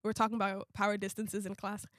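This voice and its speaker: A young woman in her twenties, an international student born in Uganda, chatting casually in a relaxed way, often repeating her own phrases. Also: medium pitch, quick pace, no room sound, clean studio sound. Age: 20s. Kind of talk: casual conversation.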